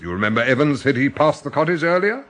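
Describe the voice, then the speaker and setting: An actor playing an opinionated, self-assured amateur theorist in an audio drama, expounding with pompous emphasis. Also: male voice, medium pitch, expressive, quick pace, fairly bright tone, slight background noise, natural voice.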